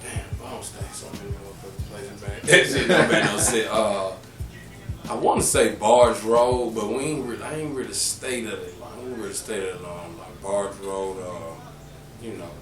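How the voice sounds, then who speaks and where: A man in his thirties with a deep voice and an Atlanta accent, a podcast guest talking in a rambling, joking, profane way. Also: normal speed. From Atlanta, Georgia. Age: 30s. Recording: echoey room, distant mic, music behind.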